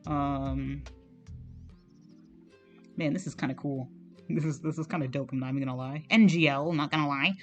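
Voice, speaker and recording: A chatty streamer talks loosely while drawing, voice slightly strained and scratchy, self-conscious and quick to laugh and swear. Middling pitch. Faint music sits softly behind the talk. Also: steady tone. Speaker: digital artist streaming. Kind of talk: casual rambling commentary while drawing.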